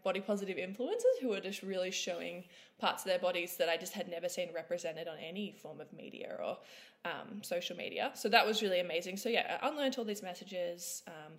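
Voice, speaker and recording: A woman in her twenties giving casual interview answers as an author guest, candid and thinking aloud. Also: on the low side. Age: 20s.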